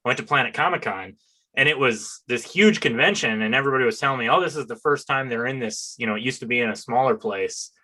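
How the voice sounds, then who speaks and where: An American man chatting casually as an interview host, with an animated, enthusiastic manner. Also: mid-range voice, quick pace.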